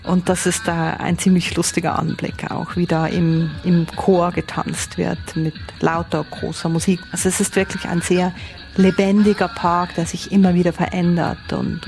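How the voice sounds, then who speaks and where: A woman's voice: fairly low pitch, level tone, normal speed, gravelly, a little mellow, faint music behind. A woman with a Swiss accent, a foreign correspondent giving vivid, explanatory answers in an interview and rephrasing as she goes. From Switzerland. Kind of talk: interview answers, descriptive reporting.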